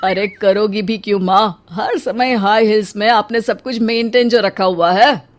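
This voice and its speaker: A woman's voice: mid-range voice, fairly even intonation, unhurried, faint music behind, lightly acted. Woman acting an agreeable young adult son. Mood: teasing.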